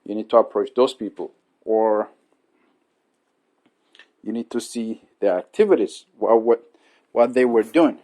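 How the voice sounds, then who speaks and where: A man's voice: fairly low pitch, a little slow, long pauses, mellow. A young man from Ethiopia, a student talking earnestly to his peers in a casual monologue, often checking in with "okay" and "right?". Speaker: student giving advice to peers.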